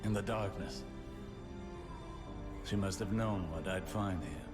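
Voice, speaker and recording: A grieving older mentor in a video game, solemn and tender. He speaks slowly and haltingly in a slightly low voice, under loud music and rain. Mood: mournful, tender. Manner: slow, halting, reflective. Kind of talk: video game cutscene acting.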